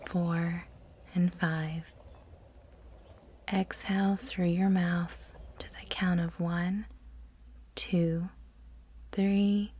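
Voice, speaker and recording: Slow, soft-voiced woman guiding meditation. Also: lowish voice. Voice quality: soft, breathy, often near-whisper. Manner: soothing, measured, deliberate pauses between phrases. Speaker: guided meditation guide. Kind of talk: slow guided meditation narration.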